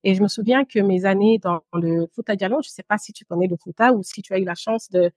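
Female interview guest, thirties, mid-pitched, measured storyteller who pauses often; dry sound. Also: a little mellow, no room sound. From Guinea and Brussels, Belgium. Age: 30s. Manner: measured, pausing, with occasional English words. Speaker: podcast guest, entrepreneur.

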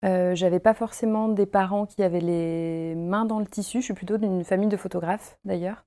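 A woman's voice: medium pitch, steady tone, moderate pace, dark tone. A woman in her thirties, from Paris, chatting at home about her textile work with many fillers and easy laughs. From Paris, France. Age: 30s. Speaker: guest, costume maker and embroiderer. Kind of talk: casual interview chat.

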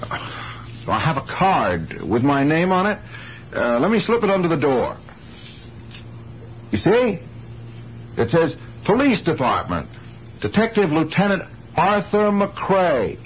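A man's voice: a little high, very animated, a little slow, pauses often, slight background noise. A man acting as a polite, hesitant police detective lieutenant who asks probing questions in a 1970s radio mystery. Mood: insistent, polite. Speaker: actor in a radio drama. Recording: dull 1970s radio broadcast recording.

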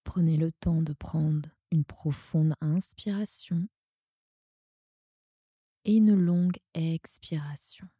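Woman doing a sleep yoga nidra, super mellow hushed breathy voice, real slow and soothing. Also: deep voice, steady tone, no echo, studio quality. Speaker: yoga nidra guide and podcast host. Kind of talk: guided sleep meditation.

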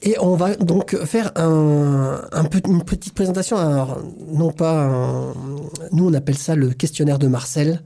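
A man's voice: on the high side, unhurried, dark tone. A man hosting a casual radio interview, chatty and laughing easily, drawing out some words. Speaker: community radio host.

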